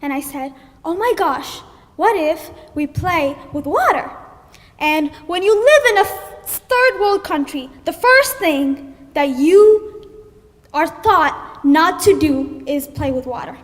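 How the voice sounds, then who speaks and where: A woman's voice: high-pitched, animated, slow. A young woman from Ethiopia telling a personal story on stage at a live story slam, animatedly acting out her own panicked thoughts. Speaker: student storyteller. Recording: live stage with long hall reverb.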